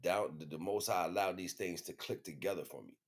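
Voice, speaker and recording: Male, American, low voice; loose, slangy streamer talking off the cuff, circling back on phrases. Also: a little fast. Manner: slangy, repetitive with frequent check-in phrases. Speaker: livestream host. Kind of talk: casual rambling monologue to viewers.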